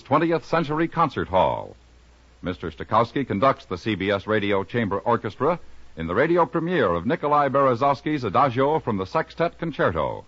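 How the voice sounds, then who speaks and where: A man's voice: lowish voice, no echo. An American man reading scripted announcements as a 1950s radio network announcer. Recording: dull, dry vintage radio broadcast.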